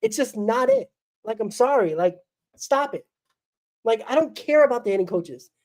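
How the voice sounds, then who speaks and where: A woman's voice: fairly high pitch, sing-song, normal speed, a little mellow. A woman hosts a solo postgame fan show, ranting bluntly and with exasperation while sick, her voice crackling and coughing.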